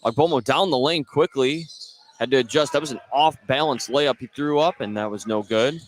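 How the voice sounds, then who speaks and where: An American man works as a play-by-play announcer on a basketball radio broadcast. His mid-pitched voice sits over clearly audible gym noise. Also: moderate pace. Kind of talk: live basketball radio commentary. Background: bouncing basketballs and gym noise.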